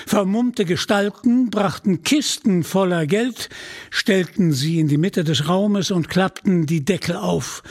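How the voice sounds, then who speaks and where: Slow-paced male storyteller, high-pitched voice. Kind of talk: scripted dramatic story narration.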